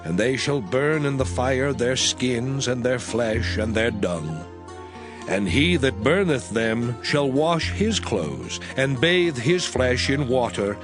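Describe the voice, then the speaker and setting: A male narrator reads scripture in a solemn, expressive way, his voice rising and falling widely at a mid pitch. Clear music plays behind him throughout.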